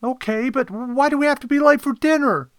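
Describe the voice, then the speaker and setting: A man voicing a detective's eager, complaining young male assistant, excitable and whiny, in an audio story. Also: brisk, no room sound, studio quality. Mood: whiny complaint. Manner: high-pitched, excitable, deferential yet whiny.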